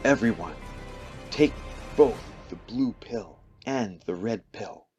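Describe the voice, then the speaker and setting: A man playing an awestruck hero in a radio play, with halting, emphatic delivery. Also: lowish voice, animated, darkish tone, faint music behind. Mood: solemn, earnest. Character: Neil, awestruck hero coming to believe he is the One.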